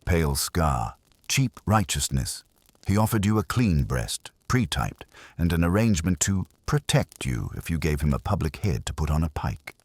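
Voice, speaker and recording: A man's voice: extremely low pitch, big pitch swings, normal speed, breathy edge, dry recording, exaggerated voice. A man reading the part of a wisecracking private detective's legman in an audiobook, wry, blunt and persuasive. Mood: insistent.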